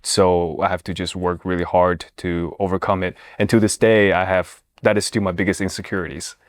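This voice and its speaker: A man's voice: low voice, flat delivery, fairly quick. A man from China with a Chinese accent and Mandarin as his first language, hosting a playful casual chat and teasing his guest. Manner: teasing, joking. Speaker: podcast host.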